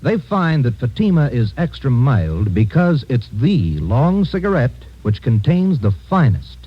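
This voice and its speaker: An American man reading a sponsor's advertising pitch as a 1950s radio announcer. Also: sing-song, measured pace, breathy edge. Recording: dull vintage radio recording, faint music.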